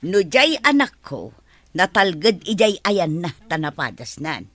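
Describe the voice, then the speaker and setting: A woman's voice: lowish voice, sing-song, unhurried, a bit bright, no echo, full character voice. A woman playing a chatty Ilocano neighbor. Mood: gossipy. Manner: chatty, gossiping.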